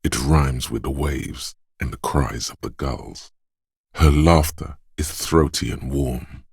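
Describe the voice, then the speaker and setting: An actor voicing a disembodied male inner sense of perception in a game, speaking in a hushed, measured, quietly descriptive way in the second person. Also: rumbling low, creaky, breathy edge, no room sound, clean studio sound, full character voice.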